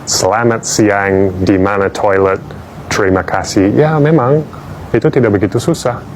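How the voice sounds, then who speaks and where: A man's voice: on the low side, measured pace, slight background noise. A man from Australia, a native English speaker, giving a wry, playful public talk as a language teacher.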